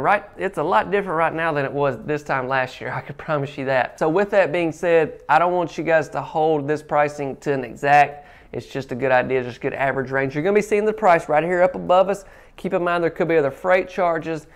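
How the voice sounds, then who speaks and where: Young man, chatty, gesturing, lapel mic, indoor kitchen. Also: on the high side. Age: young adult. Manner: friendly, chatty, animated with hand gestures. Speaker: home tour video host. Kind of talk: casual talk to camera. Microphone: clip-on lapel mic.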